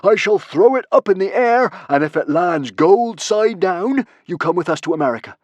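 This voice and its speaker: A man voicing a maniacal, gloating Viking villain for a storybook, bellowing in drawn-out, grandiose phrases. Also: on the high side, big pitch swings, no room sound, highs cut off, voice pushed up. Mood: manic grandiose.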